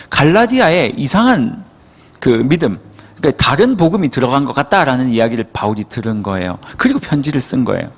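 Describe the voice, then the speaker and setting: A male youth pastor from Seoul preaching in a church hall, his pitch rising and falling with plenty of life. He talks in a casual, conversational way and keeps asking the room to agree with him. The voice carries a long hall reverb. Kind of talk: sermon.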